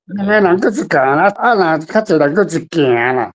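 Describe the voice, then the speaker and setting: Older Taiwanese man, slightly high voice, rambling. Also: animated, normal speed. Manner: rambling, anecdotal, repeats phrases. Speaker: author guest discussing their story. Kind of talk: casual literary discussion.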